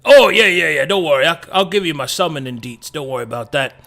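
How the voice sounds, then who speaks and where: A man's voice: on the high side, fast, gravelly, fairly bright tone. A man voicing a male demon who brews poisons, talking in a rambling, folksy, old-fashioned way. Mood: reassuring. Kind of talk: tabletop roleplay in character.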